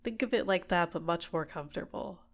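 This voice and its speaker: A woman performs a manipulative villainess for an ASMR roleplay. Her voice sits on the low side, soft and deliberate, soothing her captive with possessive tenderness and gentle coaxing. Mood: warm, coaxing. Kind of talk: ASMR roleplay, intimate personal attention.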